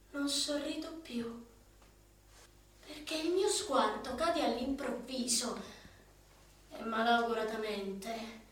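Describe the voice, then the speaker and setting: A young actress plays a jobless, overqualified young woman in a radio drama monologue, moving from brisk reciting to hushed, ironic storytelling. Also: high-pitched, slight background noise. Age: young adult. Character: young jobless overqualified female graduate, wry dreamer. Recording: roomy echo, distant mic, music underneath.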